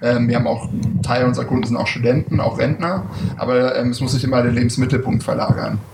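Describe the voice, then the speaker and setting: Gravelly man, distant and noisy. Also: medium pitch, fast. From Berlin, Germany. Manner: relaxed, hesitant, many fillers. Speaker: startup founder, guest. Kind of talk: casual interview talk. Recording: distant mic with loud background noise.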